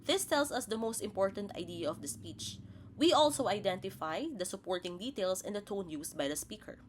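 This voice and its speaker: A woman's voice: mid-range voice, animated, a little fast, a little hiss or hum. A woman presents a scripted lesson as a teacher, spelling out each point in a didactic, step-by-step way. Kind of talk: scripted instructional narration.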